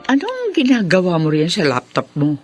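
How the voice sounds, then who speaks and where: A woman's voice: on the low side, huge pitch swings, normal speed, husky, faint music behind, full character voice. An actress playing a worried, questioning mother in a radio drama. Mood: concerned.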